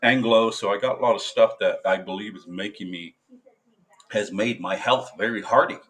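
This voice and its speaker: A veteran man talking to camera in a casual monologue, halting and hesitant, full of filler sounds and restarts, blunt and profane. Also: lowish voice, fairly bright tone. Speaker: military veteran.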